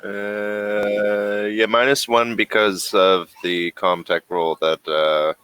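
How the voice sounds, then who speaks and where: A man runs a tabletop game at an unhurried pace. His voice sits low and has a bright, forward edge. He talks in a loose, halting way, full of fillers and restarts. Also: smooth, dry recording. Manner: relaxed, halting, lots of fillers. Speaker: game master.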